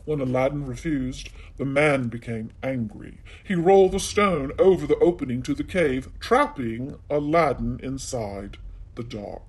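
A man storyteller reading a children's tale aloud in an animated narrating style. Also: mid-range voice, unhurried, husky.

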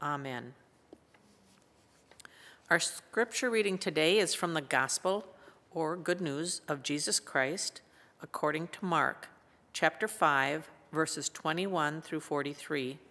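An American woman in her seventies reading scripture formally and in a measured way at a church pulpit. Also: low voice, pushed and bright. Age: 70s. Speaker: lay scripture reader. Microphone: gooseneck lectern microphone. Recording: reverberant church hall.

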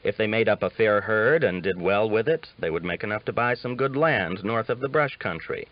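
A man's voice: fairly low pitch, expressive, normal speed. A man reading a western novel aloud as a scripted audiobook narration. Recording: dull lo-fi audiobook recording.

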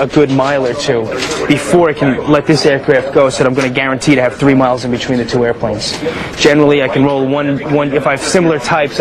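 Fast-talking man, air traffic controller at work. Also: medium pitch. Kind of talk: explaining work on camera between clipped radio instructions. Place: airport control tower. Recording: loud music behind, TV documentary.

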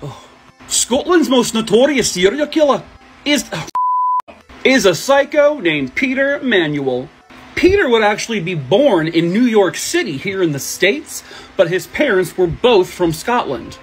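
A man's voice: sits high, very animated, measured pace, soft background music. Sarcastic man telling true crime stories. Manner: sarcastic jokey asides. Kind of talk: casual true crime storytelling. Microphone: phone at arm's length.

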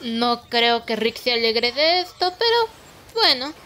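A woman's voice: on the high side, big pitch swings, unhurried, bright, forceful tone, slight background noise, exaggerated voice. A woman playing a skeptical archaeology expert, sardonic and questioning, with a Latin American Spanish accent in a dubbed short film. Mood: uneasy. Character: skeptical, brisk adult female archaeology expert.

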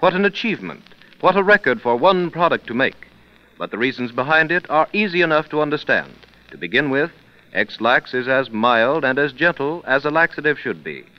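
A man's voice: mid-range voice, lively intonation, brisk. A man announcing in emphatic, polished 1930s radio sales style. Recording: muffled 1930s radio recording.

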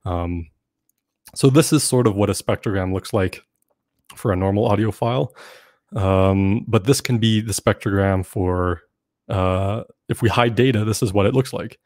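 Young male workshop presenter, lowish voice, laid-back with lots of ums, close dry desk mic. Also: moderate pace, dry recording. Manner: relaxed, filler-heavy explaining with frequent "um". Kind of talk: casual online workshop teaching. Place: small room at home. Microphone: desk mic on stand, webcam.

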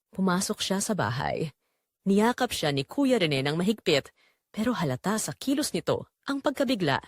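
A woman's voice: on the low side, very animated, normal speed, no room sound, studio quality. A woman with a Filipino accent reading a first-person romance drama as its narrator, in a scripted dramatic storytelling style.

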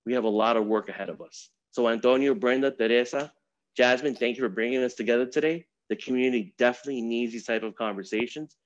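A man's voice: mid-range voice, fast, no room sound. Earnest man on a dull video call. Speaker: wealth management advisor. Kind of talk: prepared community talk on video call. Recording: dry, slightly dull video call audio.

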